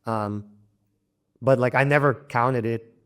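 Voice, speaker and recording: A young man from Louisiana, an interview guest talking casually about travel and language learning, relaxed and halting, with frequent restarts and light laughs. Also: medium pitch, smooth. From Louisiana, United States.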